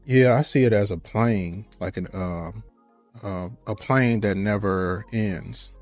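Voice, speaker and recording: A man's voice: fairly low pitch, slow, darkish tone, no echo, faint music behind. A man in a casual chat, talking in an informal, earnest, matter-of-fact way.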